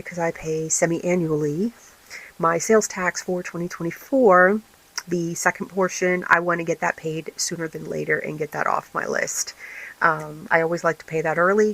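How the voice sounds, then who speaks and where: A woman hosting a casual vlog, her voice raspy and tired, rambling with many fillers and restarts. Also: lowish voice, low-quality recording. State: tired, recovering from illness. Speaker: vlog host and small craft business owner. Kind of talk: casual chatty vlog update.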